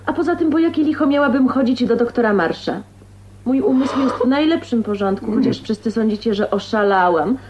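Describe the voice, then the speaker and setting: An actress playing a defiant, teasing young unmarried daughter, flippant and cool, in a radio drama. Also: female voice, fairly high pitch, expressive, brisk, lightly acted. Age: young adult. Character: defiant, teasing 29-year-old spinster daughter. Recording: dull old radio recording with hum.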